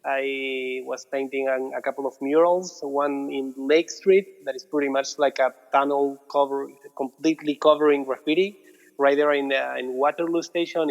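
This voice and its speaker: A man in his thirties from Argentina with an Argentine Spanish accent, chatting casually as an interview guest, relaxed and repeating his words. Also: medium pitch. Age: 30s. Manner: relaxed, repeats words, non-native phrasing. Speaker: guest, muralist. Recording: dull audio with long reverb.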